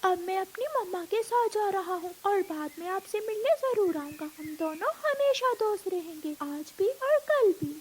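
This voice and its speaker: A woman's voice: very high voice, animated, normal speed, few pauses, a little hiss or hum, pitched above the performer's own voice. A woman voicing an innocent, affectionate baby bird in a cartoon, childlike and emotional. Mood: tender, tearful.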